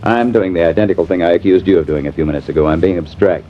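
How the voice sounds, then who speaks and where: An actor playing a sardonic, disillusioned husband in a mannered, theatrical 1950s American radio drama style. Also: male voice, low-pitched, racing, lightly acted. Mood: wry, bitter. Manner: wry, mannered, theatrical delivery. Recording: dull, hissy vintage radio recording.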